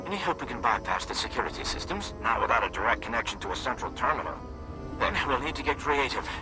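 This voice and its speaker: An actor playing a businesslike male operations officer giving brisk, clipped orders. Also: mid-range voice, lively intonation, lightly acted, low-quality recording. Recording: game cutscene with music behind.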